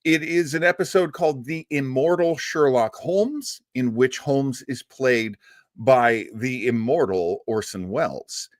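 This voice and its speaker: A man's voice: mid-range voice, unhurried, no room sound, clean studio sound. A man hosting a livestream, chatting casually and answering viewers, rambling with laughs and restarts. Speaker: livestream host. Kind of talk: casual chat with live chat viewers.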